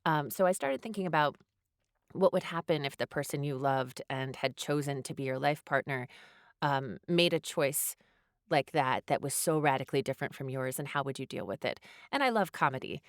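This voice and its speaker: A woman's voice: very deep voice, lively intonation, moderate pace, dry recording, clean studio sound. A woman answering interview questions as a guest, thinking aloud in hesitant, thoughtful phrases. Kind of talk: reflective interview conversation.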